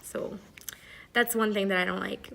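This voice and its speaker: A young woman from Brazil chats to camera in an easygoing, playful way, with a voice of middling pitch. She talks loosely, with plenty of "like" and "you know". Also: fairly even intonation, normal speed, gravelly, pushed and bright, a little hiss or hum. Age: young adult. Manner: chatty and playful, laughs often, many "like" fillers. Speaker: student interviewee. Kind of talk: casual chat to camera. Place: Paris.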